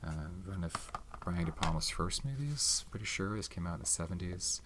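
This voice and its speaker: A soft-spoken man chatting casually in an ASMR style, hesitant, rambling and unhurried. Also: low voice, expressive, background noise. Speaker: video host showing movie collection. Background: tapping and handling of movie cases.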